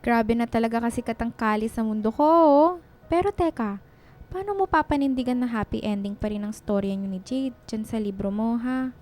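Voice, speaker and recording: A woman's voice: a little high, moderate pace, a little mellow, close to an everyday voice. An actress playing a supportive, frank best friend in a Tagalog radio drama, coaxing as she talks. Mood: scolding, teasing.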